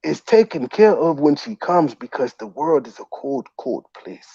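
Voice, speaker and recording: A man's voice: fairly high pitch, big pitch swings, normal speed, mellow, slightly rough. A man hosting a livestream, bantering in a mock-exasperated, teasing way. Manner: mock-exasperated, teasing, repeatedly addressing "ma'am". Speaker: livestream host and commentator. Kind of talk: bantering livestream commentary in a put-on comic accent. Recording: dull lo-fi roomy stream audio.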